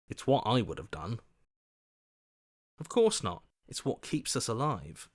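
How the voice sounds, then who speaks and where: A self-serving schemer from a video game, speaks at a middling pitch that swings widely. He is smarmy and theatrical, wheedling and flattering his way toward whatever suits him. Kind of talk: video game character voice lines.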